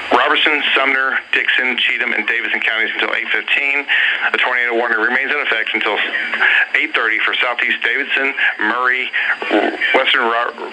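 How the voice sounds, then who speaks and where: Heavy noise covers this weather radio broadcast. A man with a medium-pitched voice gives live severe weather warnings. He speaks haltingly and partly off the cuff, repeating lists and times as an announcer would.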